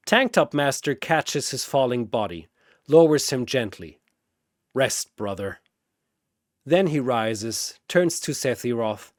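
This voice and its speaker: A man's voice: on the high side, no echo, studio quality. A man narrating a scripted fantasy battle theatrically, often in short, clipped phrases.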